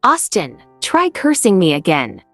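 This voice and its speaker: Man doing a sharp commanding wife, high lively voice, dry voice-over, soft music behind. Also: a little slow, no echo, heavily acted. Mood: angry, suspicious. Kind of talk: animated story narration.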